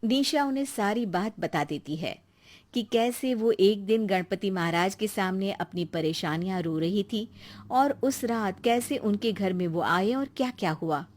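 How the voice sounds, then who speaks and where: A woman's voice: medium pitch, lively intonation, unhurried, a little mellow. A woman narrating a scripted moral story as a storyteller.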